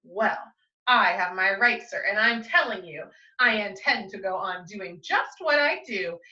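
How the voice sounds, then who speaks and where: Female reader playing greedy storybook factory boss, stubborn and self-justifying, forceful singsong rhymes, echoey room. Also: mid-range voice, expressive, unhurried. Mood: defiant. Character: greedy, stubborn storybook industrialist recounting his misdeeds. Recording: roomy, slightly dull, distant microphone.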